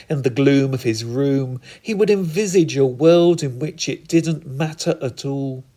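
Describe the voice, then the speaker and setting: A man performing narrative poetry in a theatrical, deliberate manner with dramatic pauses and emphatic repetition. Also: medium pitch, darkish tone. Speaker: spoken-word poet.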